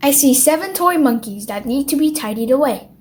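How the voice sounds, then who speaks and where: A kindly old grandmother pig from a children's storybook, played by a woman reading aloud. She speaks in a high, caring, gentle voice. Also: animated, fairly quick, slight background noise.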